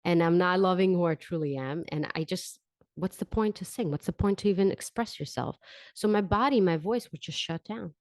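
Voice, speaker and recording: A woman with a low-pitched voice speaks as a podcast host, sharing a personal story. She is candid and reflective, often repeating words for emphasis. The sound is dry and close, with very little room in it. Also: fairly quick, darkish tone, dry recording. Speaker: podcast host and vocal coach.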